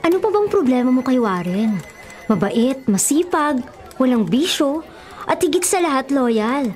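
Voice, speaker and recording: A woman's voice: high-pitched, big pitch swings, a little slow, darkish tone, soft background music. An actress playing a candid, nagging woman giving concerned advice in a Filipino radio drama, questioning and admonishing. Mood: chiding, persuasive.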